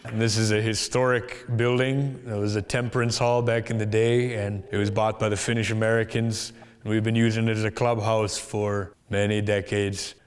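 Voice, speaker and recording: A man's voice: fairly low pitch, flat delivery, normal speed, smooth. A man from Minnesota, a stuntman, comedian and musician, talking casually in a joking, self-deprecating way. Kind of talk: casual interview and stage banter.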